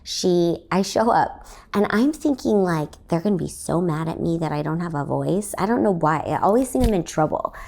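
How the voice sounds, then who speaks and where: A woman's voice: lowish voice, animated, moderate pace, gravelly, a little mellow. A young woman from Texas, a comedian hosting her own podcast, telling a story in a rambling way with lots of fillers. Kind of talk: casual solo podcast storytelling.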